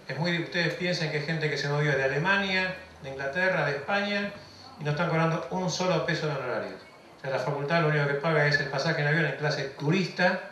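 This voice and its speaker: A man's voice: on the high side, fairly bright tone. Earnest Argentine man in an echoey hall. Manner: earnest, emphatic, stacks phrases in lists. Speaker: university vice-dean. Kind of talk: formal welcome speech. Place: large lecture hall. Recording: echoey hall from far off, faint noise.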